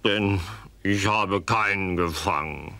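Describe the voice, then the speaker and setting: A man voicing a small good-natured bear in a children's story, husky and halting, repeating words with childlike enthusiasm. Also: deep voice, bright, forceful tone, heavily acted. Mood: glum. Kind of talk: children's animated audio story acting.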